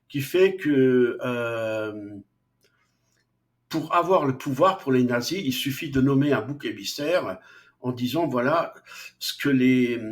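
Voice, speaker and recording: Slow-talking hesitant male lecturer. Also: mid-range voice. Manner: slow, hesitant, frequent fillers and restarts. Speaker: philosophy lecturer. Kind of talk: unscripted lecture.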